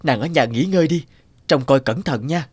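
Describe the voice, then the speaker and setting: A man's voice: a little high, moderate pace, husky. A man voicing an earnest, easily charmed young Titan in a fairy-tale cartoon, speaking expressively. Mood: gently cautioning. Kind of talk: animated fairy-tale dubbing.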